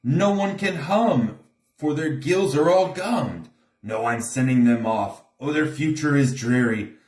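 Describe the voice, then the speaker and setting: A man voicing a cranky, scolding old male creature from a storybook, croaky and indignant. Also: on the high side, unhurried, darkish tone, distant mic, voice pushed up, close to an everyday voice. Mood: scolding, snappy. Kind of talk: storybook character reading. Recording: echoey room.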